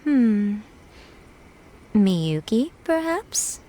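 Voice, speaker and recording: A woman's voice: a little high, big pitch swings, very slow, dark tone, slight background noise, exaggerated voice. A woman voicing a sly, teasing, elegant head of a shrine in a video game, playful and coy.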